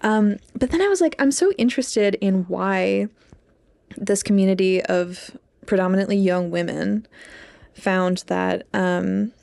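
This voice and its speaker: A woman's voice: medium pitch, measured pace, vocal fry, a little mellow. A young adult woman co-hosting a podcast in casual chat, rambling and peppering her talk with "like". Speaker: podcast co-host, English PhD student.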